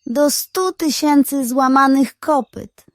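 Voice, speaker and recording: A woman's voice: high voice, slow, dry recording, clean studio sound, voice pushed up. A woman voicing a stern, resolute male pig detective in a children's bedtime story, speaking firmly and emphatically. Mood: angry. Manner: firm, exclamatory, emphatic.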